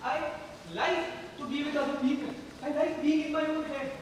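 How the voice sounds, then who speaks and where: A man in an amateur stage play acting an anguished, weary parent at a child's hospital bedside, halting and pleading. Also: very high-pitched, fairly even intonation, a little hiss or hum. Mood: agitated. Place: large hall stage. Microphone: distant microphone. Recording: echoey hall from far off, music.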